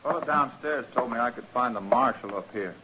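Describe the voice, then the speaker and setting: An American actor playing a plain-spoken drifting cowboy with a husky voice and a folksy, hesitant manner, in an old-time radio western. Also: male voice, medium pitch, fairly quick, background noise, close to an everyday voice. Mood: matter-of-fact.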